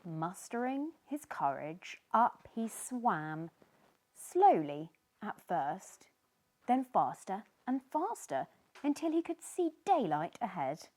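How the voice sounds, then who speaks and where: A woman reading a children's story aloud as host, with animated, dramatic emphasis. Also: mid-range voice, takes it slowly.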